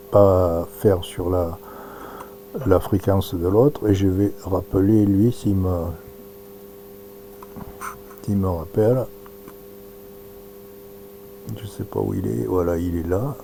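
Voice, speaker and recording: Male demonstrator, dark low voice, halting think-aloud commentary, slight hum behind. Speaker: presenter demonstrating amateur radio software. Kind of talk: informal live demonstration commentary.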